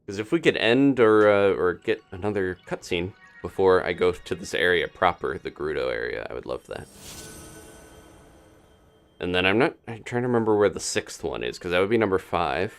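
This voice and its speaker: A man's voice: deep voice, animated, moderate pace, clear, resonant tone, soft background music. A man giving casual live video game commentary as a streamer, musing to himself and thinking aloud as he plays.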